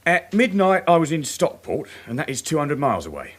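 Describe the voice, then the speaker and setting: An actor playing a young working-class suspect, cocky and defensive, answering glibly in a radio crime drama. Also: male voice, fairly high pitch, wildly swooping pitch, fairly quick.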